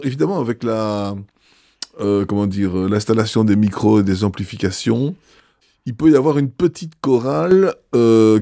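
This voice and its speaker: A man, a bishop answering interview questions in a measured way, pausing often with fillers and restarts. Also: on the low side, dark tone, dry recording, studio quality.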